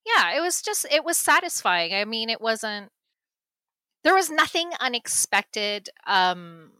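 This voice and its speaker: Chatty adult woman, bright voice, dry close sound, casual movie-review guest, lots of restarts. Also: a little high, sing-song, a little slow, no echo. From United States. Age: adult parent. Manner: chatty, laughing, many restarts.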